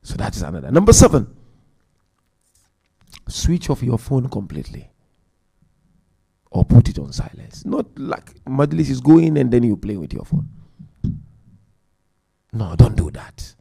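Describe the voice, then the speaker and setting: Gravelly-voiced man preaching at a majlis. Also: medium pitch, wildly swooping pitch. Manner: counts points aloud, chants Quran verses quickly; swings between loud emphasis and quiet asides. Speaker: Muharram majlis speaker. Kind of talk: religious lecture.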